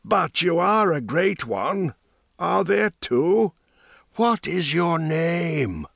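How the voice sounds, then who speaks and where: A man's voice: big pitch swings, gravelly, a bit bright, dry recording, studio quality, heavily acted. A man reading an audiobook, voicing a broken, haunted old woman dyer in a strained, raised voice. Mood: awed. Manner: slow, strained, rising high pitch. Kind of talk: audiobook character voice.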